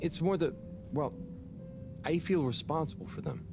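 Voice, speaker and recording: A man's voice: a little high, gravelly. A man voicing a shy, kind young man in a video game, speaking hesitantly. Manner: hesitant, halting. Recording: game audio with loud music.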